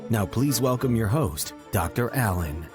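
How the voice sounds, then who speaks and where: A man reading a scripted show intro in a smooth promotional delivery. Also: lowish voice, expressive, measured pace, darkish tone. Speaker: show announcer. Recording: announcer over background music.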